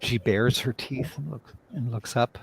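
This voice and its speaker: A man chatting as an interview guest, speaking effusively in a halting, reflective way. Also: medium pitch, creaky, a little hiss or hum, low-quality recording. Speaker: writer and director, interview guest. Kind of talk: casual interview chat.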